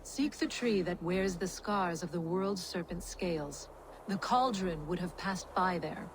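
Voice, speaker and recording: A woman's voice: on the low side, measured pace, background noise, natural voice. A woman playing a shrewd, self-serving schemer in a video game, blunt and mocking as she bargains. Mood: scornful, businesslike. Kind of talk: video game cutscene dramatic acting.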